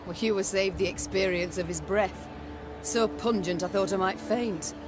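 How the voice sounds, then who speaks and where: A woman's voice: mid-range voice, normal speed, natural voice. A woman playing a stern, imperious warrior queen in a video game, speaking formally and with command over background music. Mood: haughty, wry. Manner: formal, commanding, archaic phrasing. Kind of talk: scripted video game voice acting.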